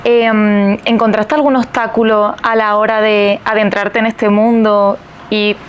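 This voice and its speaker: A woman hosting a podcast interview, asking questions in a measured way with soft acknowledgements. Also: mid-range voice, little pitch movement, slight background noise.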